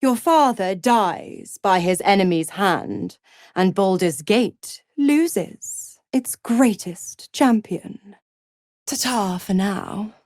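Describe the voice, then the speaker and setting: A woman playing a seductive, scheming devil in a video game, theatrical and condescending, with a silky, mocking delivery that slips toward breathy whispers. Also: mid-range voice, animated, slow pace, a little mellow, no echo, studio quality. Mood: commanding, theatrical. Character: seductive, scheming female devil who trades in pacts. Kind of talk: video game character acting.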